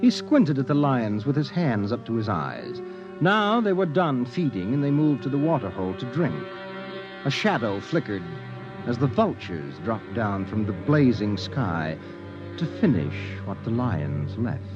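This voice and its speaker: An actor narrating a dark tale as the storyteller of a 1950s radio drama. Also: male voice, medium pitch, sing-song, measured pace. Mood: ominous. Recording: dull old radio recording with music.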